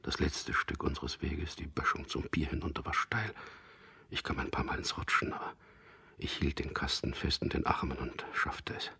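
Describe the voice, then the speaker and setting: A very deep, husky and creaky male voice plays a resolute man on a secret mission in a radio drama. He recounts things slowly to himself, introspective and grim, often sinking toward a whisper. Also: little pitch movement, full character voice. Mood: tense recollection. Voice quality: gravelly, husky, hushed. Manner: deliberate, introspective, recounting events. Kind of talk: German radio drama, first-person narration and dialogue.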